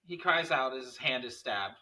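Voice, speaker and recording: A man narrates a screenplay at a table read. His mid-range voice is bright and crisp in tone, picked up a little way from the microphone. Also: mid-range voice, lively intonation, normal speed, far from the mic, low-quality recording. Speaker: narrator reading stage directions.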